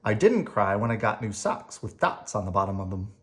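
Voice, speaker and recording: An adult reading a picture book aloud to children in an expressive storytelling style. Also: low voice, a little fast.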